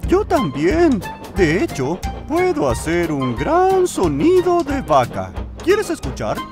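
Casting: playful young boy engine, Latin American accent, hugely animated pitch, slow clear pacing for small kids. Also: music in the background. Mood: playful, friendly. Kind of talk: children's cartoon dubbing.

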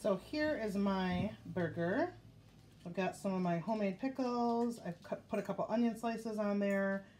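A woman's voice: mid-range voice, moderate pace, a little mellow, slight background noise. Chatty middle-aged Wisconsin woman. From Wisconsin, United States. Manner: chatty and homey, rambling with fillers. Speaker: home cooking vlogger. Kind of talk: casual cook-along chat. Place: home kitchen and dining table.

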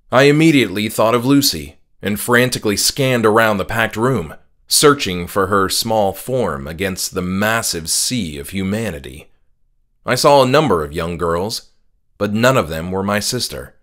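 Slow, dramatic male horror narrator. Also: fairly low pitch, fairly bright tone. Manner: measured, dramatic storytelling. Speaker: author reading his own novella. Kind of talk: scripted horror audiobook narration.

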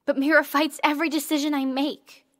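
An actress playing a stubborn, self-doubting young starship ensign in an audio drama, with a casual, blunt, questioning way of talking. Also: female voice, high-pitched, level tone, a little fast, dry recording, studio quality, natural voice. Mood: doubtful.

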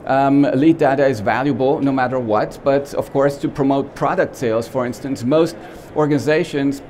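A man in his forties from Austria, with an Austrian German accent, giving a lively, joking trade-show talk full of fillers and restarts, on a small expo stage. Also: mid-range voice, moderate pace, a little hiss or hum. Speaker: company CEO giving a conference talk.

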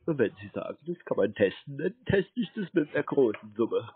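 A man making a prank phone call in a deadpan, naive manner. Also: medium pitch, moderate pace, husky, no room sound. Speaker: prank caller, scam baiter. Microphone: telephone.

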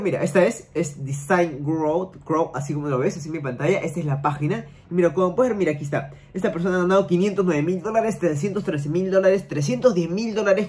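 A young man hosting a screen-share tutorial, giving direct and repetitive step-by-step instructions to the viewer from a small room at home. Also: high-pitched. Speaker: YouTube money-making tutorial host.

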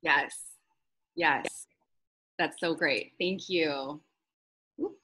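A woman's voice: low-pitched, very slow, bright, forceful tone, breathy edge, rough recording. A woman hosting an online group workshop on a video call, speaking informally in an encouraging, affirming way. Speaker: workshop host.

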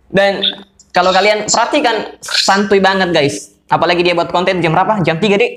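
A young man hosts a casual podcast call from a small room. His voice sits a little high and is slightly hoarse, and he talks in a relaxed, chatty vlogger way. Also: fairly quick. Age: young adult. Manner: laid-back, chatty. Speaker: YouTuber hosting a podcast call. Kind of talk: casual vlog-style phone interview.

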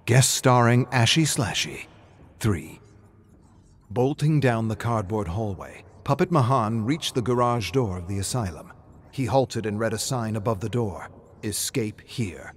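A man narrates a scripted story in a dramatic style. His voice sits on the low side. A light bed of ambient noise runs behind it. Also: lively intonation, measured pace.

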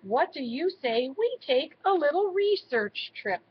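A woman voicing a bold, excitable female mouse explorer from a storybook, eager and exclaiming. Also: sits high, measured pace, voice pushed up. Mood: eager, excited. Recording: dull lo-fi recording with faint noise.

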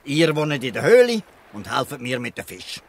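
A man's voice: medium pitch, very animated, normal speed. A man with a Swiss German accent playing a proud, protective old free fisherman in a radio play. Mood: protective, hurried. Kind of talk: dialect radio play acting.

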